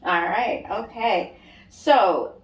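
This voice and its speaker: A woman's voice: mid-range voice, lively intonation, very slow. A woman with a mid-range voice asks short, friendly questions, often saying "all right." The recording is echoey, and the mic sits some way off from her. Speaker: interviewer. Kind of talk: casual interview questions. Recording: echoey room, mic at a distance.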